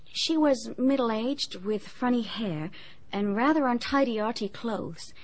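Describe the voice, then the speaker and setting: An actress playing a forthright young society niece in a radio drama, polite but candid and vividly descriptive. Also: female voice, fairly high pitch, lively intonation, measured pace, darkish tone, poor audio. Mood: earnest.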